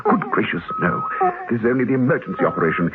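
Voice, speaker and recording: A man's voice: lowish voice, expressive, fast, noisy background, close to an everyday voice. A man playing a fussy, anxious surgeon-scientist in a radio drama, muttering, flustered and emphatic, often repeating himself. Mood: brisk excitement. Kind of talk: classic radio drama acting. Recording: tinny vintage radio recording with loud music.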